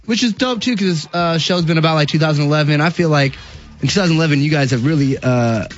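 A man's voice: a little high, fast talker, muffled recording, soft background music. A man hosting a casual hip-hop radio interview, hyped and full of slang and profanity, recorded on a studio mic. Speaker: radio show host.

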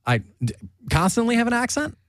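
A man's voice: very high voice, big pitch swings, a little fast, fairly bright tone, no room sound, studio quality. A young man from New Jersey hosting a casual chat, talkative and self-mocking, laughing often. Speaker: podcast host.

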